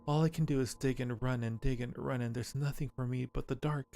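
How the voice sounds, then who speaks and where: A man's voice: mid-range voice, fairly even intonation, brisk, vocal fry, mellow, lightly acted. A man voicing a self-loathing female creature from a game, muttering in anguished repetition over music. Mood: bitter despair. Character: self-loathing, hungry female vampire mole-like digger. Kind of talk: reading game dialogue aloud.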